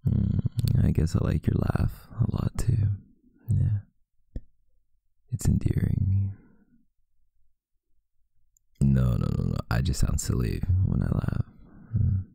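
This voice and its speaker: Man acting as teasing sleepy boyfriend, ASMR pillow talk, extremely low voice, heavy vocal fry, murmured, breathy, close dry mic. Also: big pitch swings, dark tone, dry recording, full character voice. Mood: affectionate, teasing. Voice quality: husky, gravelly, breathy. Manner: murmured, drowsy, hesitant pauses. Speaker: ASMR roleplay voice actor. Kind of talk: intimate ASMR boyfriend roleplay.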